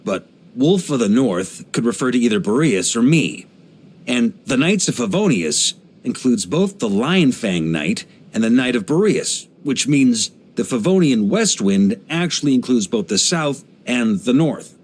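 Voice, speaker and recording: Laid-back middle-aged knight boss from a video game, jovial, kinda theatrical, voice swings around a lot. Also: male voice, medium pitch, big pitch swings, unhurried. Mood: musing, amused. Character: genial, easygoing middle-aged male knight commander. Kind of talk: English video game character voice lines.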